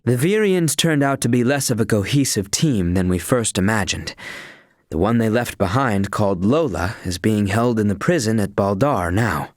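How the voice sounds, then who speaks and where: Young male knight, played by an actor, fantasy game: earnest, dutiful, archaic courtly phrasing, expressive range, dry studio sound. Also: lowish voice, no room sound, lightly acted. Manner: formal, archaic courtly diction, solemn and measured. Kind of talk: scripted fantasy video game voice acting.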